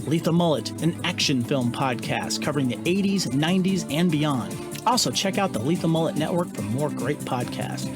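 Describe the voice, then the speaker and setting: Male promo announcer, fairly high voice, scripted read over clear music. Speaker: podcast network announcer.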